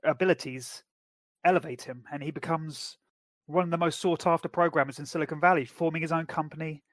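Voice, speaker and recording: A man co-hosting a podcast, chatting casually and explaining plots in a measured way. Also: fairly high pitch, steady tone, no echo. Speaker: podcast co-host. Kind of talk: casual chat.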